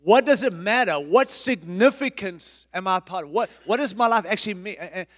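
A middle-aged man, a pastor, preaching a sermon in an emphatic, repetitive style with big swings in loudness. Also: sits high, expressive, moderate pace, in a large hall. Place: church.

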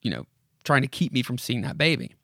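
A man's voice: mid-range voice, wildly swooping pitch, fairly quick, creaky, fairly bright tone, dry recording, clean studio sound, exaggerated voice. A man playing a weary, puzzled male visitor in a horror comic, speaking plainly and casually.

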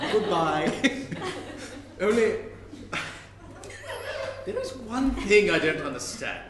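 An actor playing a weary, reluctant retired spy in a live comic play reading, earnest, sardonic and resigned. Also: male voice, high-pitched, heavily acted. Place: live performance in a room. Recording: roomy live recording, faint noise.

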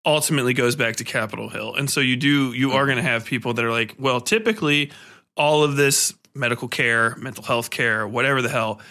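Bright, forceful man chatting, swearing freely. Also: mid-range voice, a little fast. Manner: profane, blunt, rambling. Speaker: podcast host. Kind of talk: casual podcast chat.

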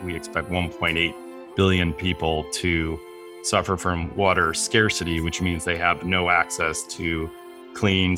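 A man's voice: low voice, pushed and bright. A man speaking in an informative way, steadily laying out figures and projections. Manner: delivers figures and statistics steadily. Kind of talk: informative interview talk. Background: background music.

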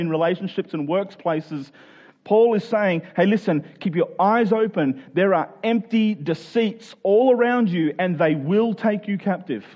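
Higher-voiced male preacher, slightly dull recording. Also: darkish tone. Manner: earnest, rhetorical questions, restating phrases for emphasis. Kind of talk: sermon, explaining a Bible passage in plain conversational words.

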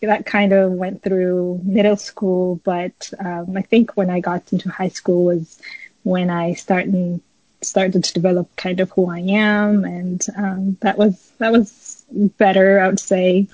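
Slow, hesitant woman in dull audio. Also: on the low side, flat delivery, darkish tone, no room sound. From Ethiopia. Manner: hesitant, many pauses while gathering thoughts. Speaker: podcast guest. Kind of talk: casual personal storytelling in an interview. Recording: slightly dull, muffled lo-fi audio.

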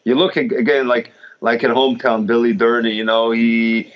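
Animated Israeli-born man, lo-fi sound. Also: normal speed. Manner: animated storyteller, many restarts, pitch jumps when excited. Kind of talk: casual chat. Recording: dull lo-fi sound.

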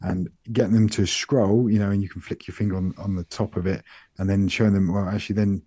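Soft-spoken deep-voiced British man. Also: quick pace, breathy edge, dry recording. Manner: soft-spoken, relaxed and rambling. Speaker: podcast co-host. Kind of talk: casual chat.

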